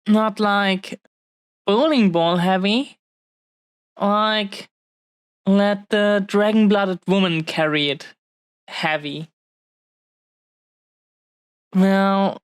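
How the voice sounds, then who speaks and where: Woman acting a very slow, tender dragon-blooded girlfriend. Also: medium pitch, smooth, no echo. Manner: tender, halting, lingering over short phrases. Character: affectionate, possessive young dragon-blooded woman, engaged and besotted. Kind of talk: intimate romantic audio roleplay addressed to the listener.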